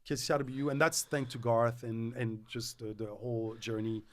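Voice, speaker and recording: A middle-aged man with a French accent, a DJ talking as a guest on a casual podcast, animated and restarting his words as he tells stories. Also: mid-range voice, normal speed. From France.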